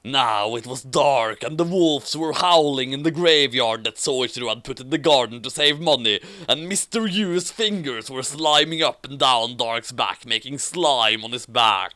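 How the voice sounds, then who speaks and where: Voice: medium pitch, unhurried, few pauses, sharp tone. Someone reading a story aloud with a dramatic, giggly delivery and amused commentary. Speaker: reader and commentator of fan fiction.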